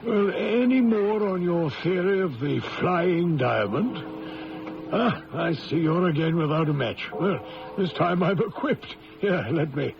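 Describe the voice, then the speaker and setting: A lively, creaky-voiced genial gentleman. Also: high voice, unhurried, exaggerated voice. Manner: chatty, genteel, eager. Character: genial, inquisitive gentleman diamond-firm boss in South Africa. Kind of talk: old-time radio drama acting. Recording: dull lo-fi radio recording, faint hiss.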